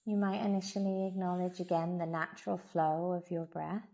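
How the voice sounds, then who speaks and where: A woman leads a guided meditation very slowly, leaving space between short, gentle phrases. Her voice is soft-edged and mellow, with a noticeable creak, and she soothes as she instructs. The recording sounds a little dull. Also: fairly low pitch, level tone. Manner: soothing, unhurried, gentle instruction with long pauses. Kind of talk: guided body scan meditation.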